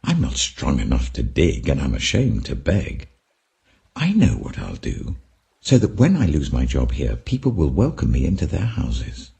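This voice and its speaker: A man's voice: rumbling low, huge pitch swings, moderate pace, gravelly, mellow, full character voice. A man voicing a shrewd, anxious household manager in a scripture parable, musing and scheming. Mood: worried musing.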